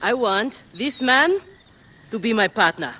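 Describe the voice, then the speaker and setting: A woman playing a boisterous, boastful gladiator and warm old friend in a video game, hearty and exuberant. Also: fairly high pitch, lively intonation, a little slow, slight background noise. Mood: hearty reassurance. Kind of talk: dramatic video game acting.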